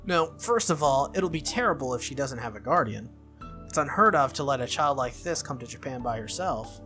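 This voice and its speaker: A man's voice: mid-range voice, animated, a little fast, sharp tone, faint music behind. A man narrating a visual novel aloud as a gaming video host, in a read-through style.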